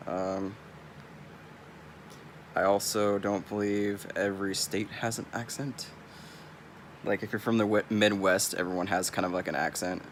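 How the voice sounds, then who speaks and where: A man's voice: fairly low pitch, fairly bright tone, slight background noise. A young man from California with a Californian accent, rambling bluntly and swearing freely in a casual vlog. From California, US West Coast. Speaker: vlogger.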